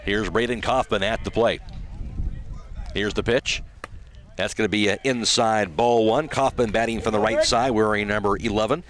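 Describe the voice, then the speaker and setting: A man's voice: on the low side, steady tone, normal speed, fairly bright tone. An American man doing live play-by-play of a baseball game as a radio announcer. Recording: outdoor ballpark broadcast with clear background noise.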